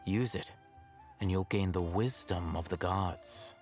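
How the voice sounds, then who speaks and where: A man's voice: deep voice, takes it slowly, faint music behind. A man playing a confident, aloof young scholar in a video game, composed, articulate and matter-of-fact. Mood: measured, matter-of-fact. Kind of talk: video game character acting.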